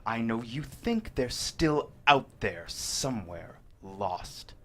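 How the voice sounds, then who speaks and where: Man acting a smoothly menacing killer, swooping pitch. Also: big pitch swings, slow pace. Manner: polite, condescending, insinuating. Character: menacing male killer hiding behind smooth civility. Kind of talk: screenplay table read acting.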